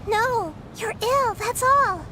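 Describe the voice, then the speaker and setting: A woman voicing a tiny, childlike fairy companion in a video game, squeaky, earnest and excitable. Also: takes it slowly, background noise, heavily acted. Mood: earnest, pleading. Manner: squeaky, childlike, refers to herself by name. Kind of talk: scripted video game voice acting.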